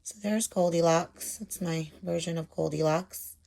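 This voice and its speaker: Woman, teacher, unhurried pace, simple child-directed explanations, casual instructional talk, frequent tag questions. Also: lowish voice, fairly even intonation, gravelly, darkish tone. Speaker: teacher presenting a lesson to young children.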